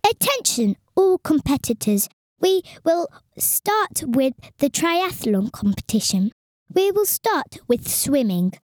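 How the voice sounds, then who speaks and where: A woman voicing a formal, deliberate competition announcer in a storybook reading. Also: high-pitched, a little mellow, no room sound, clean studio sound, heavily acted. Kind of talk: storybook announcement, read aloud.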